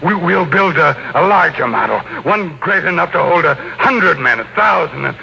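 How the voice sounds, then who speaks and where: An actor playing a ruthless, domineering tycoon in a 1950s radio drama, with a blustering, commanding delivery. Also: male voice, fairly high pitch, expressive, moderate pace, vocal fry, pushed and bright, full character voice. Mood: triumphant, grandiose. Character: ruthless, domineering male tycoon, scornful of sentiment. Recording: noisy vintage radio recording.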